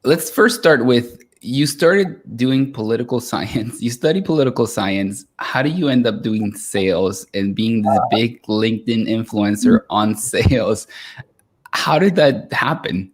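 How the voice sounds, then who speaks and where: A young man hosting a live stream interview in an upbeat, energetic way. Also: medium pitch, measured pace. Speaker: host. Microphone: video call.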